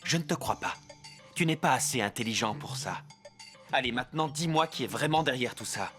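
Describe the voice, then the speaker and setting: A man's voice: mid-range voice, expressive, a little fast, a bit bright, faint music behind, close to an everyday voice. A man voicing a stern, skeptical captive in a cartoon, insistent and dismissive. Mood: demanding. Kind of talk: animated cartoon dub.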